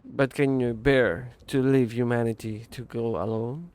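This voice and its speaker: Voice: mid-range voice, steady tone, unhurried, a little mellow, clear, resonant tone, dry recording. A streamer giving casual, brief reaction commentary while playing a video game. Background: game music.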